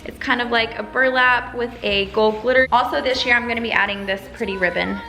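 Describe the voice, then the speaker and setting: A woman's voice: a little high, fairly even intonation, brisk, sharp tone. A woman vlogger chatting upbeat through a decorating tutorial at home. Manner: upbeat, chatty, lots of "go ahead" and "you guys". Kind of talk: casual tutorial chat. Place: room in her home while decorating. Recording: echoey room, faint music behind.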